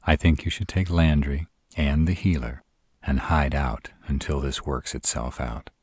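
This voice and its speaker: A man voicing an arrogant, brooding male vampire in a scripted audio roleplay, with slow, dramatic delivery and a rough voice. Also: steady tone, darkish tone, no room sound, full character voice. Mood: grim. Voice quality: very deep, rough husky voice. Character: arrogant male vampires plotting, grave and cocky.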